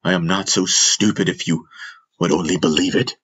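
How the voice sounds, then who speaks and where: A man's voice: low-pitched, fairly even intonation, normal speed, fairly bright tone, husky. A man voicing a hurt, proud and defensive young son who speaks in an aggrieved, challenging way in a fantasy audiobook. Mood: hurt defiance.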